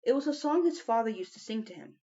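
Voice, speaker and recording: A woman's voice: a little high, animated, quick pace, warm tone, highs cut off. A woman reading a children's novel aloud as an audiobook narrator. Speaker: audiobook reader.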